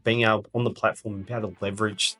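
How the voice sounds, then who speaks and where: Slightly husky, lowish-voiced businessman. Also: level tone, very fast, bright, forceful tone. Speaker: interviewee, regional company executive. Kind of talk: conversational business talk. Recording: music behind the talk. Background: background music.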